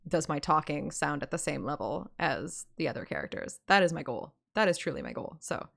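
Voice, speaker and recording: A woman's voice: low-pitched, big pitch swings, brisk, vocal fry, fairly bright tone. A woman streaming a story game, moving between playful, self-mocking chat and dramatic read-aloud narration. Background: game music.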